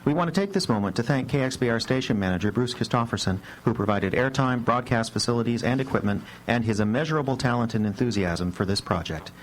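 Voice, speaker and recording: Fast-talking formal radio host, a man. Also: medium pitch, lively intonation, rough recording. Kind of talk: scripted welcome address on radio. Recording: live radio broadcast with background noise.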